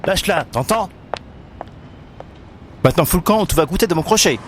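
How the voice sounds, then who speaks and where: A man's voice: sits high, animated, brisk. A man playing a tough, protective young rescuer in an audio drama. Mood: threatening. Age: young adult. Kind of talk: amateur French audio drama. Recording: noisy, outdoor ambience with birds.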